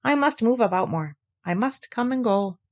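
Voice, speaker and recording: A woman's voice: on the high side, lively intonation, moderate pace. A woman voicing a gloomy, self-pitying old male donkey from a children's storybook, in a ponderous, complaining way. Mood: resigned. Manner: ponderous, complaining, formal wording. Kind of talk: children's storybook read aloud.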